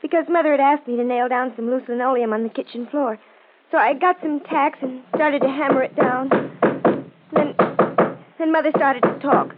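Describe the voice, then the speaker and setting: A woman's voice: sits high, steady tone, moderate pace, background noise, natural voice. An actress playing a lovesick, defiant seventeen-year-old girl in a 1940s American radio crime drama, stammering and repeating her words. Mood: nervous, confessing. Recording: muffled old radio recording.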